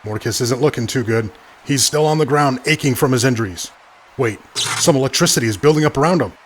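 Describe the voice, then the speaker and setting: A man's voice: medium pitch, fast talker, close to an everyday voice. A man playing an earnest ringside announcer, calling a fictional wrestling match play by play. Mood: tense narration. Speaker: wrestling commentator. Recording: very noisy background.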